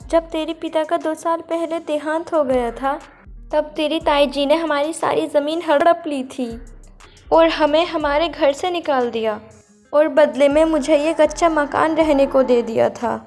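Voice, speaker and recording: A woman's voice: high-pitched, a little slow, darkish tone, soft background music. A woman voicing a poor, long-suffering village mother-in-law in a cartoon moral story, plaintive and motherly. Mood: sorrowful relief.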